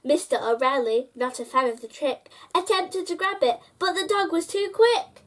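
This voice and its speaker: A woman's voice: extremely high pitch, steady tone, normal speed, fairly bright tone. A woman hosting a story time, reading a children's picture book aloud and addressing young listeners directly.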